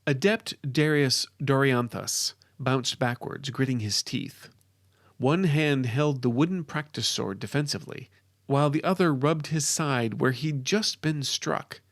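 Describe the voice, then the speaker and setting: A man narrating a scripted fantasy story as its author, in a deliberate, dramatic and expressive way. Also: mid-range voice, dry recording, clean studio sound.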